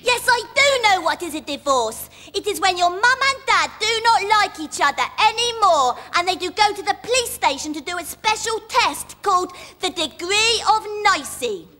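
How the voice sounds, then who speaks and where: A woman's voice: very high-pitched, lively intonation, normal speed, hardly any pauses, bright, forceful tone, faint music behind, full character voice. A woman playing an earnest little girl who explains things with childish grammar in a comedy monologue. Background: studio audience laughter.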